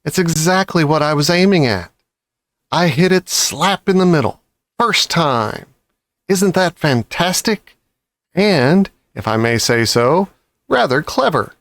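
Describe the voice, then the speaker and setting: A man voicing a clever, cheerful, commanding father fox in a children's storybook, excited and pleased with himself. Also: fairly high pitch, measured pace, no echo, voice pushed up. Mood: proud, triumphant. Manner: excited, bossy, self-satisfied.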